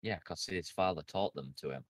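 Man, low voice, dry sound, podcast co-host, detailed and corrective. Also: normal speed, dry recording. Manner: recaps game plots in detail, corrects others. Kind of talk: casual gaming chat.

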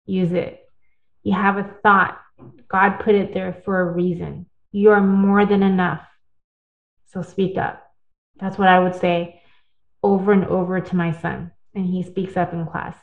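A woman telling her own story in a casual interview, earnest and talkative, with tag questions and restarts. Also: mid-range voice. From born in the United States, partly raised in Taiwan. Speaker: guest. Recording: echoey, phone-like audio.

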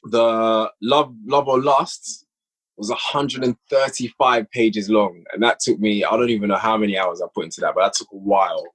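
A young man with a slightly husky voice speaks casually as a podcast guest, explaining how he writes and directs audio stories. His delivery is eager and animated, breaking now and then into quick bursts of energy. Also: on the low side, rough recording. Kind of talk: casual conversation.

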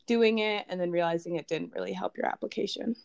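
A woman's voice: on the low side, quick pace, gravelly, darkish tone, clean tone. A young woman co-hosting a podcast, giving advice in a hesitant, casual way with many "like"s.

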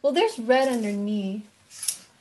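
A woman co-hosting a music podcast, a bassist chatting casually and agreeably. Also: animated, crowd noise, rough recording. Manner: agreeable, quick to laugh along. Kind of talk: casual chat.

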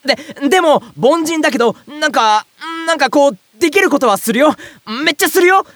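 High-pitched, friendly young man played by an actor. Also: animated, a little fast, a bit bright, far from the mic. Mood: earnest self-deprecating. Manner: chatty, comic, flustered quipping. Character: friendly, excitable ordinary young man, self-styled big brother. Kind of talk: Japanese audio drama acting with first-person narration.

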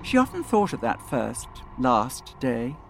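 A man's voice: mid-range voice, big pitch swings, a little slow, creaky, noisy background. A man reading a grave science-fiction story as a dramatic scripted narration. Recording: music bed under narration. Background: music.

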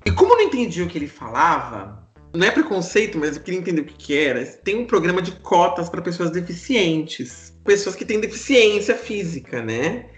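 A man's voice: sits high, brisk, reverberant, faint music behind. A man with a Brazilian accent chatting casually and expressively as a podcast host, telling stories. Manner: expressive, animated. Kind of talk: casual chat, storytelling.

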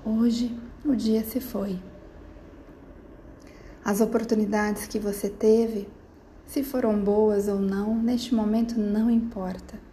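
A woman guiding a sleep meditation in a slow, soft, soothing manner with gentle pauses, slipping into near-whispers. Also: medium pitch, level tone, a little hiss or hum. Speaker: meditation guide.

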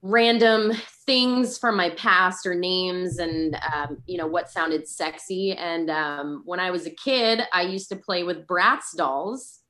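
A woman's voice: on the low side, slow pace, hardly any pauses, pushed and bright. A woman from New England, a podcast guest talking casually with frequent likes and ums. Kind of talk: casual interview.

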